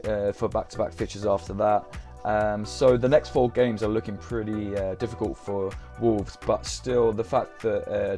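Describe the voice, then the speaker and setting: Young man, low mellow voice, a bit croaky; casual, halting live-stream chat. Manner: hesitant, many ums and uhs. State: croaky voice from a sore throat. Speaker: fantasy football streamer.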